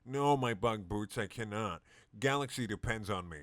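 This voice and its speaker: A man voicing a gruff heroic male cartoon cat, speaking in a deep, mouth-shaped cartoon voice with grave declarations. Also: normal speed, fairly bright tone, no echo, natural voice. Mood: solemn.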